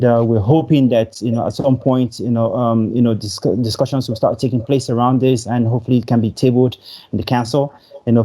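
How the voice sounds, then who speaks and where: A man's voice: mid-range voice, dark tone. A man guest speaking earnestly about mental health policy, with many "you know" fillers. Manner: filler-heavy, earnest advocacy. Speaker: guest from a European alliance. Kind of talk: interview on livestream.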